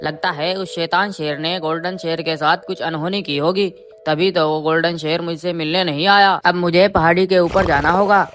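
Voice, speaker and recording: A woman playing a loyal, earnest tiger in an animated jungle story. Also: fairly low pitch, normal speed, close to an everyday voice. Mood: worried. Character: worried, loyal tiger friend of a lion.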